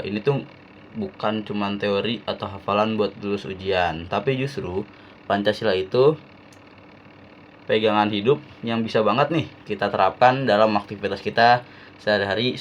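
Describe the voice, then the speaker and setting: A young man from Jakarta, a university student giving a relaxed, slangy class-assignment talk with frequent fillers. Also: on the low side, a little hiss or hum. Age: young adult.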